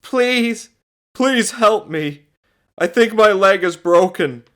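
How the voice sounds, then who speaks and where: A man's voice: medium pitch, measured pace, sharp tone, pitched above the performer's own voice. A man voicing a troubled, cautious doctor in a horror audiobook, with an urgent, apprehensive, protesting manner. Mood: pained pleading. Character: troubled, cautious male doctor, anxious and defiant.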